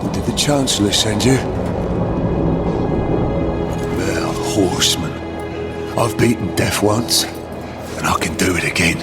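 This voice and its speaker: An actor playing an embittered, scornful undead swordmaster in a video game, with deliberate, theatrical delivery. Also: male voice, sits low, animated, over loud music. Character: embittered undead veteran swordmaster, once a human warrior. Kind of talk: video game cutscene acting.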